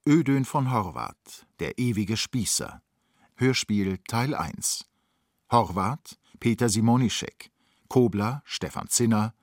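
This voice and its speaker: A man with a low voice makes a formal radio announcement. He reads in a measured, scripted way, in a dry studio with no room sound. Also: lively intonation, no room sound. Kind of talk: scripted radio play credits.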